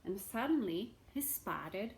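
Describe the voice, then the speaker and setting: A woman reading a story aloud expressively, with dramatic pauses and small asides to the listeners. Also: medium pitch, lively intonation, creaky, a little mellow.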